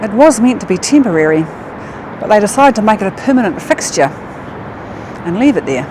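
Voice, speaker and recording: A woman with a New Zealand accent talks into an action camera as she walks through a city at night. She mixes facts with quick, delighted remarks, and clear outdoor city noise sits behind her voice. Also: mid-range voice, big pitch swings. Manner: chatty, easily impressed, exclaims often. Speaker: travel vlogger. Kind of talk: walking tour vlog with facts. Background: city street and traffic sounds.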